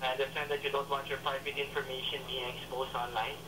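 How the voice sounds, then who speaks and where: Fast-talking male call centre agent, noisy line. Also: medium pitch, flat delivery. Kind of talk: scripted customer service call. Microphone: heard over a phone call.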